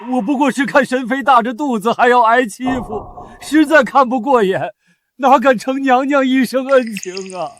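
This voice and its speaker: A humble grateful old miner. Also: male voice, very high-pitched, normal speed, a bit bright, a little hiss or hum. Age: middle-aged. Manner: humble, deferential. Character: humble middle-aged male miner, grateful.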